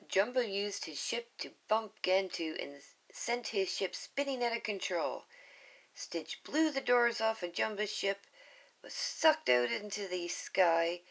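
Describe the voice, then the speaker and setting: Woman slowly reading a children's storybook. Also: mid-range voice, gravelly, a bit bright. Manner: casual asides, chuckles. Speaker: storybook reader.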